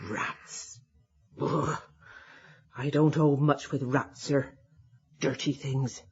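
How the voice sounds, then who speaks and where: An actor playing a superstitious older working-class English housekeeper, homely and deferential, in a radio drama. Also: male voice, medium pitch, level tone, extremely slow, husky, exaggerated voice. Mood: disgusted. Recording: dull lo-fi old radio recording.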